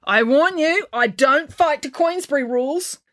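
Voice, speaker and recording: A woman's voice: high voice, animated, measured pace, a bit bright, dry recording, clean studio sound, voice pushed up. A woman voicing a skinny, angry twelve-year-old girl with a nasal voice and a defiant, combative manner.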